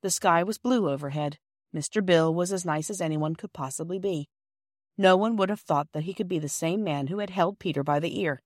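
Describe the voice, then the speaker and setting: A woman's voice: on the low side, brisk, darkish tone, no room sound, clean studio sound. A woman narrating a children's storybook from a script. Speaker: audiobook narrator.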